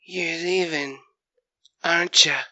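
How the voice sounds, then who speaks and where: A performer voicing a young furry creature boy in an audio drama, earnest and curious, with halting, rustic speech. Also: mid-range voice, fairly even intonation, pushed and bright, no echo, voice pushed up. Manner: halting, rustic grammar, questioning.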